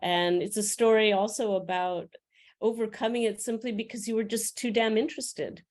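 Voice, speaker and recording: A woman hosts a conversation before a library audience, speaking in a measured, summarizing way as she draws threads together for the next question. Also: mid-range voice, steady tone, darkish tone. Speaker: moderator. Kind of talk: interview questions. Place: library event room with a live audience.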